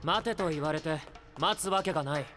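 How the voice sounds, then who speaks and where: An actor playing a self-possessed young knight with a calm, measured, teasing manner in a fantasy audio drama. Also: male voice, fairly high pitch, over music, close to an everyday voice. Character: cool, elusive, self-possessed young male knight candidate.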